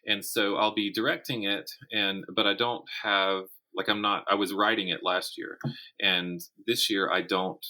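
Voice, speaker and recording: A middle-aged man with a deep voice and a bright, forward edge speaks as an interview guest. His delivery is informal and thoughtful, often rephrasing as he explains. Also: little pitch movement, unhurried. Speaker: guest, writer and college teacher. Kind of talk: casual interview conversation.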